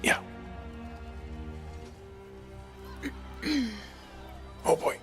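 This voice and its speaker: An actor playing a wary, gruff rogue in a video game, wry, casual and hesitant. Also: male voice, very slow, fairly bright tone, soft background music. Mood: queasy, polite.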